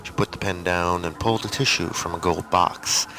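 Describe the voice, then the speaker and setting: A man's voice: fairly even intonation, moderate pace. A man narrating scripted fiction as a storyteller. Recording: noisy tape with low rumble.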